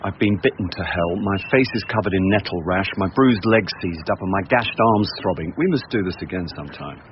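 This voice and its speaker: Through a dull, noisy recording comes a male actor as a grumbling middle-aged English grandfather and reluctant sleuth. His voice sits low, and he delivers radio-drama lines and narration with deadpan, sardonic weariness. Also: a little fast, natural voice. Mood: grumpy, sardonic. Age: middle-aged or older. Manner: dry, world-weary, deadpan grumbling. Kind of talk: radio drama dialogue and first-person narration.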